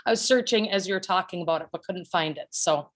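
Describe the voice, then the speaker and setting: A woman's voice: lowish voice, fast talker. An American woman speaking casually as a participant in a meeting.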